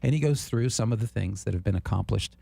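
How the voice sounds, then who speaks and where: A man co-hosting a political talk show, speaking in an animated, indignant way and laughing often. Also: on the low side, fast talker, creaky. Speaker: co-host.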